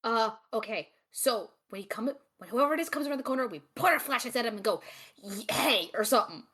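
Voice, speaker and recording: A woman voicing a scrappy, excitable spaceship crew member, blurting slangy lines in an improvised tabletop game. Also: fairly high pitch, fast, husky. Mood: nervous, scheming. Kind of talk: casual improvised tabletop roleplay.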